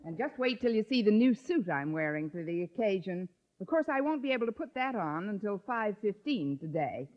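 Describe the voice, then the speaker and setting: An actress playing a wisecracking, sardonic high school English teacher in a 1950s radio sitcom, with dry, crisp comic timing. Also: female voice, big pitch swings, brisk, hardly any pauses, natural voice. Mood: wry, cheerful. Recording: dull lo-fi 1950s radio recording.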